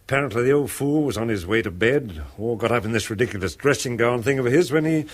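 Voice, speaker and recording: A man's voice: medium pitch, animated, fast, close to an everyday voice. An actor playing a flippant, cynical upper-class man in a 1970s radio drama, breezy, sardonic and callously offhand. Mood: gossipy.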